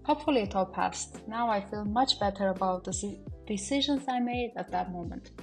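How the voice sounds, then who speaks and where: A woman's voice: medium pitch, brisk, soft background music. A young woman in her mid-twenties from Armenia, chatting casually to the camera while she tidies her small apartment, a little nervous and reflective. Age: mid-20s. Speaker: vlogger. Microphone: camera on a stand, some lines away from it.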